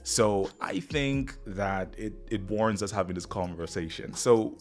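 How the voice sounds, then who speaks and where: Bahamian-accented man, deep low voice, chatty live stream host, faint music behind. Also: unhurried. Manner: rambling, upbeat, talks straight to viewers. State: getting over the flu, slight cough. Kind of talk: casual live stream chat.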